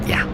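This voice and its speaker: A man playing a plucky, casual young hero in an animated game, informal and wry. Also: mid-range voice, gravelly, full character voice. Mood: sarcastic.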